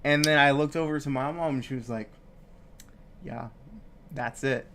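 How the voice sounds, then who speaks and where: A man's voice: mid-range voice, moderate pace, a bit bright, slight background noise. Sniffly young man swearing through casual chat. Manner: rambling, heavy swearing, many fillers. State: sniffly from a cold. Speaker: podcast co-host. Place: home studio, live-streamed.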